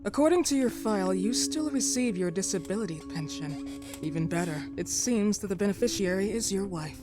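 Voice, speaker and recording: Casting: actress for persistent woman investigator, probing game-drama delivery, mid-range voice under loud music. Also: medium pitch, lively intonation, brisk, natural voice. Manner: probing, insistent. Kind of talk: dramatic video game acting.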